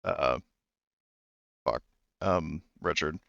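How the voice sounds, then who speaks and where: A man acting as game master in a tabletop game, talking with frequent pauses and fillers. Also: very deep voice, vocal fry, fairly bright tone, no room sound, dull audio. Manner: hesitant, lots of fillers and restarts.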